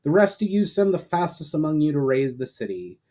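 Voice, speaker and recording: A man's voice: a little high, big pitch swings, brisk, dark tone, voice pushed up. A man acting a commanding elven prince, urgent. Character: commanding elven prince rallying his fighters, urgent and resolute.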